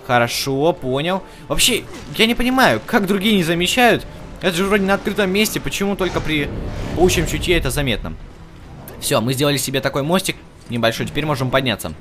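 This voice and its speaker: A man with a fairly high voice hosts a let's-play, commenting casually as he goes. He thinks aloud and chats to the game's characters. Game music plays clearly behind him. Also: animated, brisk, a bit bright. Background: video game music.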